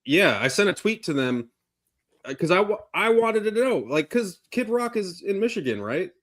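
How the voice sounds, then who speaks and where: A man's voice: sits high, big pitch swings, normal speed, a bit bright. A man in his forties co-hosting a casual panel chat, full of questions and pushback. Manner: quizzical, pushes back with questions.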